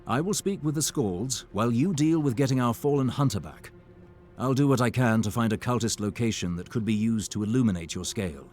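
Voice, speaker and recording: Serious mid-pitched advisor played by a man. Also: darkish tone, natural voice. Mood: businesslike. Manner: measured, serious. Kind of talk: fantasy game dialogue.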